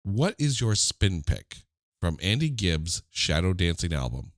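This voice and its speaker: A man's voice: low voice, very animated, a little slow, no room sound, studio quality. A man with a stuffy, slightly nasal voice hosting a casual music podcast, joking with listeners in mock-dramatic asides. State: congested, under the weather. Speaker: podcast host.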